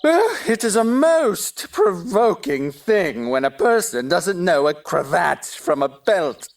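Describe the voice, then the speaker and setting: A woman's voice: fairly low pitch, sing-song, slow, full character voice. A woman playing a pompous, touchy, argumentative talking egg in a stage-play style audio drama, with haughty, exaggerated emphasis. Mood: indignant. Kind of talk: theatrical audio drama acting.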